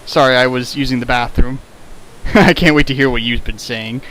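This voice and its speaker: A man's voice: medium pitch, moderate pace, fairly bright tone, low-quality recording. A man hosting a streamed fan fiction reading, casual, playful and mocking as he reads and riffs. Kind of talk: casual riffing and dramatic reading.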